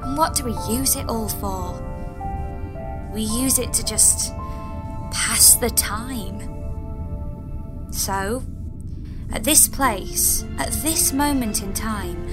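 A woman playing an excitable, earnest young space traveller with a dramatic manner in a comic sci-fi audio drama. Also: a little high, slow pace, pauses often. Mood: grandly solemn. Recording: loud music under the voice.

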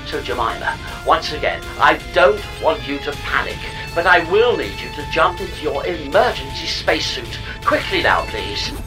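An actor playing a smug, sardonic artificial pod companion with a deadpan, wry manner in a comic sci-fi audio drama. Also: medium pitch, moderate pace, few pauses, close to an everyday voice, poor audio. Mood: dry, frank. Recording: music under the voice.

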